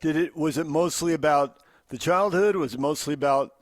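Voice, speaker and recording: A man's voice: a little high, moderate pace, dry recording. Male radio host, dry studio sound. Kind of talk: casual radio talk.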